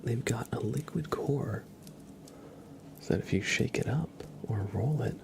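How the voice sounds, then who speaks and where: A man hosting an ASMR livestream, chatting softly and breathily to viewers, unhurried and often repeating words. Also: medium pitch, slight background noise. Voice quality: whispered, breathy soft voice. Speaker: livestream host.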